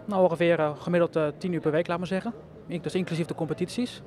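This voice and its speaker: A man's voice: a little high, steady tone, fast talker, darkish tone. A man in his twenties answering a reporter's questions at a bowling centre, confident and plain-spoken. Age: 20s. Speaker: bowling champion interviewed. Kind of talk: casual interview answers.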